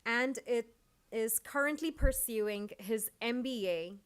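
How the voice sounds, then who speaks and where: A woman hosting an awards ceremony, reading out award citations in a measured, formal way. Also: a little high, clear, resonant tone. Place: large event hall.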